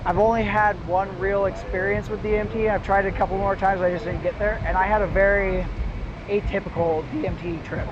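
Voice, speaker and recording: A man's voice: sits high, steady tone, brisk. A young American man chatting casually and earnestly in a rambling way, with heavy swearing. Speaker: livestreamer. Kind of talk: casual street chat. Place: outdoors in a city at night. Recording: muffled, noisy outdoor livestream audio.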